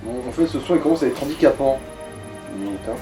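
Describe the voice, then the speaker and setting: A man's voice: medium pitch, animated, fast talker. A man chatting casually and bluntly, with swearing, as he advises someone playing a game. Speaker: co-commentator advising the player. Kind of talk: casual gaming chat. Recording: roomy, distant mic, game music behind. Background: game music.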